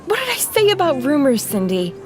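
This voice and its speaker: A woman's voice: high voice, wildly swooping pitch, brisk. A woman playing a prim, exasperated official with a curt, authoritative way of talking in an audio drama. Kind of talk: fan-made audio drama acting. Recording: music under the voice.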